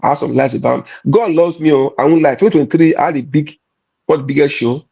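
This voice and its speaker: A Nigerian man with a gravelly, husky voice, slightly higher than most men. He talks in an emphatic, repetitive way and drops into pidgin. The recording sounds dull and lo-fi. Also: fast, mellow. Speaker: comedian. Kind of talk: candid conversational chat.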